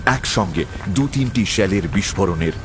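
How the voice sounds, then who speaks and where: Low-voiced man narrating over loud music. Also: lively intonation, moderate pace. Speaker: narrator of an audio horror and adventure story. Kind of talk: dramatic scripted story narration. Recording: loud music bed under the voice.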